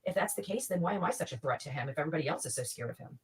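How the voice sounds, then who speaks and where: A woman's voice: sits low, expressive, racing. A woman giving informal, opinionated online commentary in an indignant, insistent, repetitive way.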